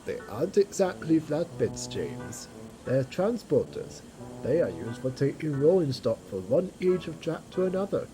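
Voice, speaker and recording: A woman voicing a stern, matter-of-fact male railway engine in an audio drama, explaining in a measured, firm way. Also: deep voice, big pitch swings, over music. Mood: patient explaining.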